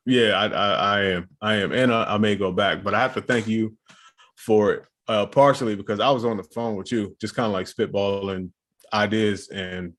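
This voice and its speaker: A man with a fairly low voice trades easygoing, slang-filled banter as a podcast co-host. His talk is loose and relaxed, full of quick asides and friendly jokes. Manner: laid-back slangy banter. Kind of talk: casual chat.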